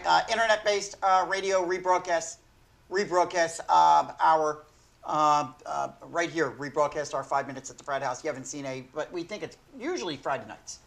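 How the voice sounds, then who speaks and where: An older man with a hoarse, failing voice hosting a sports talk show from a small studio desk, rambling and correcting himself as he goes. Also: on the high side, moderate pace, fairly bright tone. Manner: rambling, self-correcting, chuckling. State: hoarse, losing his voice.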